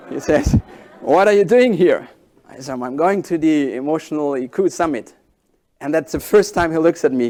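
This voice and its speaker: A man in his forties whose first language is German, giving a conference keynote in halting English with animated storytelling. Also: a little high. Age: 40s. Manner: animated storytelling, halting non-native phrasing. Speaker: keynote speaker.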